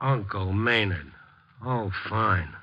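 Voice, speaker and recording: An actor playing a sardonic, hard-boiled newspaper reporter who narrates and wisecracks drily in a 1950s radio drama. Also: male voice, fairly low pitch, lively intonation, slow pace. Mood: sore, sardonic. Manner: dry wisecracking delivery. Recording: muffled old 1950s radio recording.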